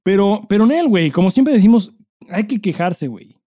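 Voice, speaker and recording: A man's voice: high-pitched, lively intonation, normal speed, warm tone, no room sound, studio quality. A Mexican man co-hosting a podcast in casual chat, swearing freely and speaking with emphasis in heavy slang. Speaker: podcast co-host.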